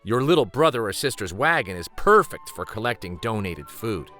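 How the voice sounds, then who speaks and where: Very animated man reading a storybook aloud. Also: fairly low pitch, faint music behind. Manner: deliberate, animated, emphatic phrasing. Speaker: host reading children's picture books aloud. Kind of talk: expressive storytime read-aloud narration.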